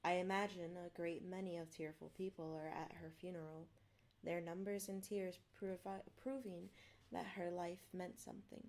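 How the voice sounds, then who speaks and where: A woman's voice: lowish voice, fairly even intonation, normal speed, a little mellow. A woman who is not a native English speaker, chatting apologetically on a stream and reading a book aloud. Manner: apologetic, rambling, self-deprecating. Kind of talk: casual stream chat and read-aloud narration.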